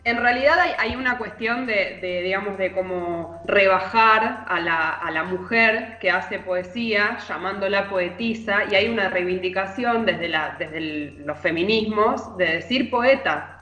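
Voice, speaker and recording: A woman's voice: medium pitch, measured pace, hardly any pauses, pushed and bright, faint music behind. An Argentine woman giving informal literary commentary as a radio columnist, congested, wandering and correcting herself as she goes. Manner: discursive, self-correcting. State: congested with allergy or flu. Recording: roomy echo.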